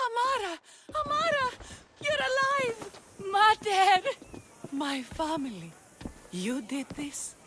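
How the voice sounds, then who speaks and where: A woman's voice: extremely high pitch, expressive, takes it slowly, pauses often, background noise, heavily acted. An actress playing a commanding, fiercely protective mother with a stern, emotional manner in a video game cutscene. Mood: overjoyed, shaken.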